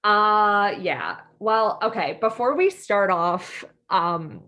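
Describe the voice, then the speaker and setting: A woman's voice: mid-range voice, expressive, slow, bright, forceful tone. A young American woman co-hosting a podcast in casual chat, informal and self-deprecating. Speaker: podcast co-host.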